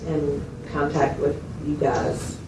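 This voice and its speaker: Woman acting as female client, very low pitch, brief matter-of-fact answers, cooperative, echoey noisy recording. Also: fairly even intonation, takes it slowly. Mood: cooperative, plain. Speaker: client in an interview. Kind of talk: role-played clinical interview. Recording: roomy, distant mic, loud background noise.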